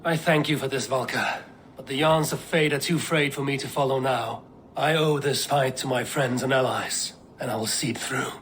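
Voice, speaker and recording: An actor playing a steady, resolute male viking clan leader in a video game, measured in his speech. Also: medium pitch, a little hiss or hum, lightly acted. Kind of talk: video game cutscene acting.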